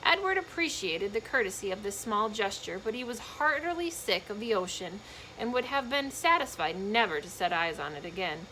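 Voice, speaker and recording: A woman's voice: mid-range voice, big pitch swings, moderate pace, sharp tone, slight background noise, low-quality recording. A woman reading a children's storybook aloud expressively, with casual explanatory asides to young listeners.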